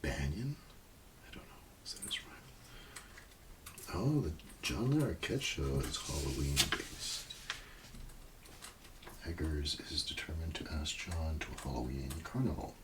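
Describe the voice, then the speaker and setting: A man doing a casual ASMR roleplay, murmuring and rambling in a low-key, unhurried way, sometimes near a whisper. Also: animated, recorded from a distance, noisy background.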